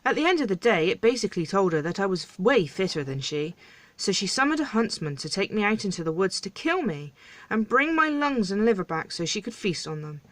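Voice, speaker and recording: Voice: medium pitch, sing-song, a little fast, fairly bright tone, no room sound. A storyteller voicing a brazen young fairy-tale princess who is self-pitying, plaintive and defensive. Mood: earnest, boastful.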